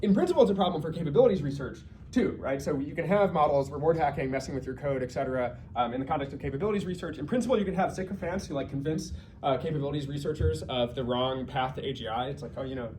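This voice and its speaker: Guy doing a casual research talk, talks fast, kinda thinking out loud, bit of noise in the background. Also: fairly high pitch. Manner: conversational, thinking aloud with self-corrections and asides. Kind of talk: informal research lecture.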